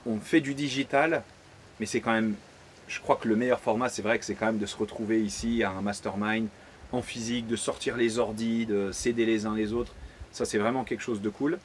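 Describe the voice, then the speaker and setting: Male host, lower voice, laid-back chatty interviewer. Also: brisk, a little hiss or hum. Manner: relaxed, chatty, loose phrasing. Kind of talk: casual interview. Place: outdoors by a pool.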